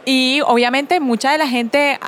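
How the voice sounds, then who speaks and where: Colombian woman guest, upbeat explainer, slightly high voice. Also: fairly even intonation, a little slow, a bit bright, slight background noise. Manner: enthusiastic, explanatory. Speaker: guest speaker and tech presenter. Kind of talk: casual tech interview chat.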